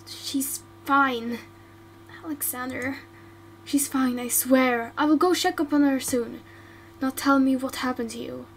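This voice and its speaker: An anxious, caring friend who stammers and insists on answers, voiced by a woman. The voice is high, with a faint hum behind it. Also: steady tone, measured pace, low-quality recording. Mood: worried.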